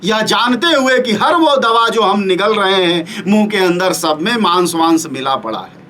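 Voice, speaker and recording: Man, news commentator, opinion monologue, high-pitched, bright tone, rhetorical questions, sarcastic. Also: lively intonation, normal speed. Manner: rhetorical, piles up pointed questions; sarcastic, scornful edge.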